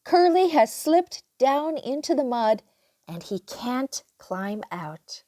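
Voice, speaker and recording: An older woman reading a children's picture book aloud in an expressive, unhurried way, acting out the dialogue. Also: high-pitched, no echo. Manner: animated, unhurried, acts out dialogue. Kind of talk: expressive read-aloud storytelling for young children.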